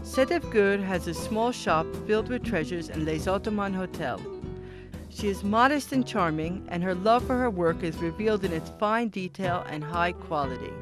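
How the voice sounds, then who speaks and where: A woman's voice: mid-range voice, normal speed. Woman narrating over prominent guitar music. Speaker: narrator of a profile programme. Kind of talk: scripted narration. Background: plucked guitar music.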